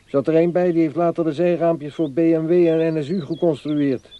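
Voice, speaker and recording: A man's voice: fairly high pitch, steady tone, brisk, dry recording, phone-quality sound, lightly acted. An actor in a radio play as a middle-aged man, grumbling and resigned, wistful about thwarted ambitions. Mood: wistful, bitter.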